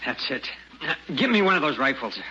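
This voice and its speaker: A man's voice: medium pitch, big pitch swings, fairly quick, sharp tone. An American actor playing a hot-headed, protective frontier father in a radio sci-fi drama, urgent and commanding. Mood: tense, wary. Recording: dull, noisy radio recording.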